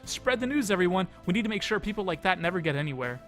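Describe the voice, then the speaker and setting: Man putting on a high-pitched, indignant online gossip. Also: big pitch swings, fast talker, a bit bright. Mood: indignant, scornful. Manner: indignant, sneering. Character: scornful online gossip rallying others against someone. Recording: music behind the voice.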